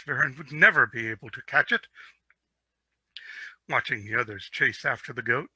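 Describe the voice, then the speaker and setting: A man narrates a fantasy tale with theatrical expression. His voice is very bright and forceful, and the sound is close and dry. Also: mid-range voice, slightly rough, no echo. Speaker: storyteller. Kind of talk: dramatic reading of a fantasy story.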